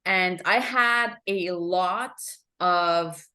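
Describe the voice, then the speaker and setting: Low-voiced French-Canadian woman, candid. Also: drawn-out words, sharp tone. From Montreal, Quebec, Canada. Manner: open, candid, with fillers and restarts. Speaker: guest, emotional intelligence coach. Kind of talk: conversational interview.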